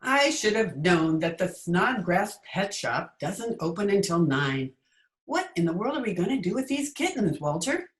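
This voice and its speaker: Woman playing wry schoolteacher, lively pitch, dry delivery, radio comedy, echoey room. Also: unhurried. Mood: mildly exasperated. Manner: dry, sardonic. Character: wry, quick-witted adult woman schoolteacher. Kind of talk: old-time radio comedy acting. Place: indoor room, recorded remotely. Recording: roomy echo, remote video-call recording.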